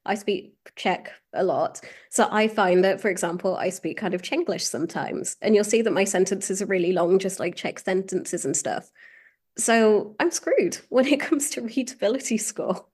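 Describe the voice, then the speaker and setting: A woman's voice: mid-range voice, normal speed, darkish tone, husky. A woman chatting casually as a podcast guest, informal and emphatic, with frequent exclamations. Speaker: podcast guest, SEO professional. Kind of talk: casual chat.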